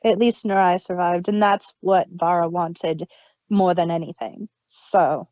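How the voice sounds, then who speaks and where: A woman's voice: lowish voice, fairly even intonation, measured pace, darkish tone, no echo, muffled recording. A woman co-hosting a casual review chat, recounting story details at length. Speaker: podcast co-host.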